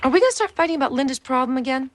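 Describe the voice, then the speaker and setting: A woman's voice: sits high, animated, rapid-fire. An actress playing a frazzled suburban wife and mother who grows indignant, in a naturalistic television drama. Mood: brisk, domestic.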